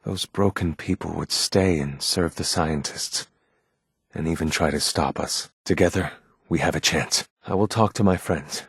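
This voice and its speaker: An actor voicing a guarded, earnest male android rebel leader in a video game, with formal, measured, grave speech and a husky voice. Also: sits low, no echo, clean studio sound, full character voice. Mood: grave, determined. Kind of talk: scripted game character dialogue.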